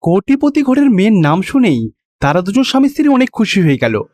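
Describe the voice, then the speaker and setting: A man tells a cartoon moral story in a high voice. The sound is dry and close, and the delivery is scripted narration. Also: big pitch swings, a little fast, darkish tone, no room sound.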